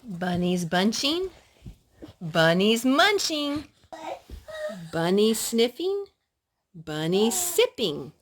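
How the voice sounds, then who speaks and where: A woman's voice: mid-range voice, big pitch swings, extremely slow, long pauses, slight background noise. A woman hosting a children's storytime, reading picture books aloud and asking little prompting questions in a child-directed way.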